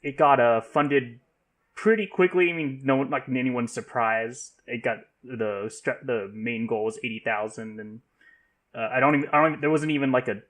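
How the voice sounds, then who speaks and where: Guy hosting a casual podcast, stammers and restarts his sentences a lot, medium pitch. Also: fairly bright tone. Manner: halting, stammering delivery with repeated false starts. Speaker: podcast host. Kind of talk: casual podcast discussion of news.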